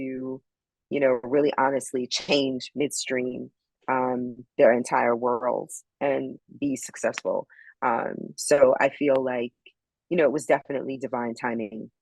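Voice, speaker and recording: A woman's voice: a bass voice, steady tone, unhurried, no echo, rough recording. Reflective middle-aged woman from Barbados. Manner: reflective, many ums and you-knows. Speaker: podcast guest. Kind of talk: casual interview chat.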